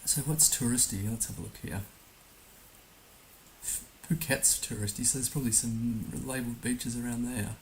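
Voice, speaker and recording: Male game streamer, hoarse and gravelly, unhurried thinking-out-loud delivery, faint hiss on the track. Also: medium pitch, steady tone, rough recording. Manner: musing, hesitant, thinking aloud. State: hoarse, recovering from being under the weather. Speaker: livestream host playing a geography guessing game. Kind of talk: casual live game commentary. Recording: noticeable background hiss.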